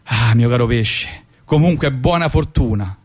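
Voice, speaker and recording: A man's voice: medium pitch, unhurried, natural voice. A man voicing a smug, falsely regretful boss in a satirical monologue, with a hypocritically polite, self-justifying manner. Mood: falsely friendly.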